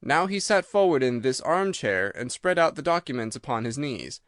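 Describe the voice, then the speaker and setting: A man narrating a classic story from a script in a measured, literary storytelling manner. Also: medium pitch, animated, a bit bright, dry recording, clean studio sound. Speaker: audiobook narrator.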